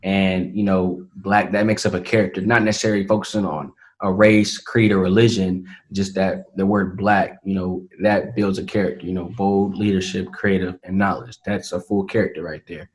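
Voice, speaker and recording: An eighteen-year-old man, answering interview questions in an earnest, measured way with many fillers. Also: low voice, flat delivery. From Atlanta, Georgia, USA. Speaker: interview guest, young entrepreneur. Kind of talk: casual interview talk.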